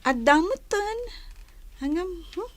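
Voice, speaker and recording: A woman as a high-pitched, plucky country girl. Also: expressive, slow, no echo, full character voice. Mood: friendly. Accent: Filipino. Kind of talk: comedy drama acting.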